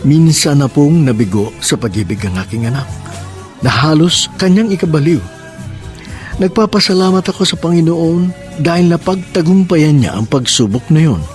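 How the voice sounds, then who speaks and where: A man's voice: fairly high pitch, expressive, moderate pace, a little mellow, soft background music. Solemn male radio drama host. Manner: solemn, poetic delivery.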